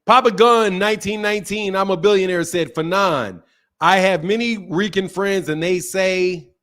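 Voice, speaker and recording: A man with a fairly high-pitched voice hosts a loose live-stream about boxing. He reads viewer comments aloud and answers them, talking in an animated way. He swears freely and repeats his points. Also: moderate pace. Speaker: boxing talk show host reading chat. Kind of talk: casual live-stream chat.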